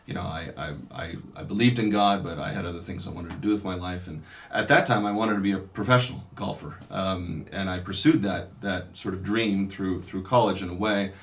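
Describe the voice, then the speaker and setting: Man, priest, very low voice, casual interview, thoughtful, pausing often. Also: fairly even intonation. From California. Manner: reflective, unhurried, many pauses and restarts. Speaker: Dominican priest. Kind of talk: casual interview, telling his life story.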